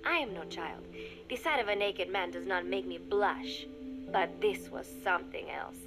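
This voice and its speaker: Actress as young woman spy doing a game audio diary, formal and measured, wistful, goes murmury, music behind her. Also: mid-range voice, lively intonation, lightly acted. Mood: wry. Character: young female secret agent confiding in a diary, wistful, resigned. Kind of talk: scripted dramatic monologue, recorded diary.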